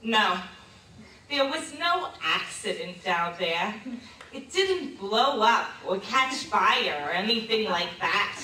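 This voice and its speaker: An actress playing a reticent, embarrassed old lady reminiscing about her youth in a theatrical stage monologue, hesitant and confiding with dramatic pauses. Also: medium pitch, expressive, pushed and bright. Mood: guarded, dismissive. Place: live stage performance in a hall. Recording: distant mic in echoey room, noisy.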